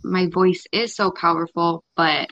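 A woman's voice: on the low side, fairly even intonation, a little slow, fairly bright tone, no echo, highs cut off. A young woman guest chatting casually and hesitantly, full of filler words. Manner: hesitant, lots of likes. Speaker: livestream guest. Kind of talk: casual chat.

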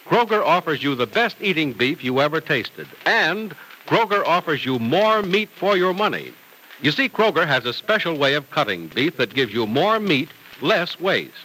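A man's voice: on the high side, sing-song, normal speed, bright, forceful tone. A male announcer reading scripted old-time radio narration and advertising with emphatic polish, on a noisy vintage recording.

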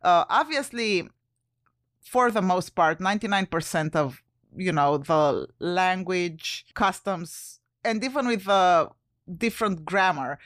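A woman from Romania, co-hosting a podcast in casual chat, chatty and quick to laugh. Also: fairly low pitch, fairly bright tone, no room sound, clean studio sound. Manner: chatty, laughs often, occasional word slips. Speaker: podcast co-host.

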